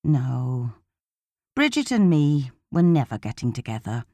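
A woman's voice: rumbling low, big pitch swings, a little slow, warm tone, no echo, voice pushed down, full character voice. A woman voicing a sympathetic, slightly uneasy man of around forty in an audiobook, talking in a familiar, conversational way. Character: Zelda's male friend Lenny, sympathetic, uneasy, around forty.

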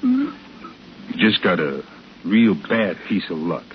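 A callous bank robber with a deep voice and a folksy, rough drawl. He speaks matter-of-factly and without pity. The sound is a dull vintage radio recording with faint noise. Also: huge pitch swings, slow pace, exaggerated voice. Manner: folksy ungrammatical speech, matter-of-fact and callous. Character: cold, hard male outlaw bank robber on the run. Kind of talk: 1950s radio drama acting. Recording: muffled, faintly noisy 1950s radio recording.

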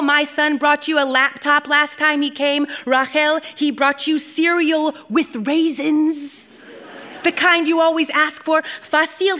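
A woman's voice: sits high, fairly bright tone, pitched above the performer's own voice. A woman voicing a proud, pushy old grandmother in a short story, insistent and dramatic as she scolds and coaxes. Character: proud, pushy old Ethiopian grandmother, doting on her son.

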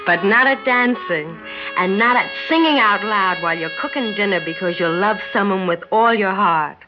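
A woman's voice: medium pitch, normal speed, lightly acted. Young sportswoman, female actor, American accent, slangy and tough with a tender streak; sixties radio play, music behind, dull sound. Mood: fond, proud. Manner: plain-spoken, slangy, emotional. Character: tough, self-doubting young woman athlete with a tender streak. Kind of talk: 1960s radio drama acting with first-person narration. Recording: muffled 1960s radio recording.

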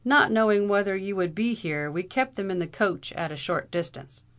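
A woman narrator plays a bold lawyer in an old storybook tale. He is brisk, commanding and drily amused, speaking up firmly with a creaky edge. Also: sits high, animated, a bit bright. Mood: brisk confidence.

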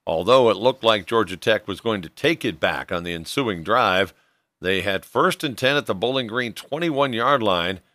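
A man's voice: lowish voice, animated, moderate pace, bright, forceful tone, dry recording. A man hosting a sports show, narrating a scripted recap of a football game.

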